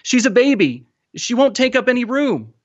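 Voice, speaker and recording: A man's voice: very high-pitched, very animated, moderate pace, dull audio, pitched above the performer's own voice, heavily acted. A man voicing a desperate boy in a dramatic story reading, with urgent, pleading cries.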